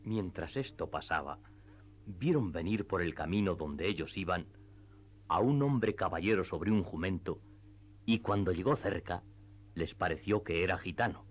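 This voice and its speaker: A man narrating a classic novel in a radio drama, with measured literary storytelling. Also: deep voice, expressive, breathy edge. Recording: slightly dull, band-limited audio. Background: faint background music.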